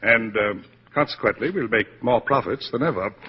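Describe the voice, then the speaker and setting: An actor playing an expansive, commanding department store boss, proclaiming emphatically in 1940s radio drama style. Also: male voice, mid-range voice, moderate pace, slight background noise, close to an everyday voice. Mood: grandly pleased. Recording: dull lo-fi 1940s radio broadcast.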